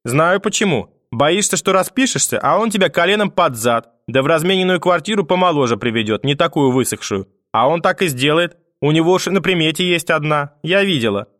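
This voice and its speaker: A man's voice: a little high, animated, brisk, few pauses, fairly bright tone, dry recording, studio quality, voice pushed up. A man voicing a spiteful, domineering old grandmother in an audiobook, scolding and cursing with sarcasm. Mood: bitter, sarcastic. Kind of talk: audiobook character acting.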